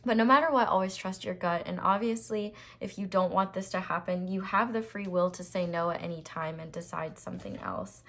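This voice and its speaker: A woman with a slightly raspy voice doing a casual, reassuring tarot reading to camera, with frequent ums and restarts. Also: fairly low pitch, brisk, few pauses, fairly bright tone. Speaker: tarot reader.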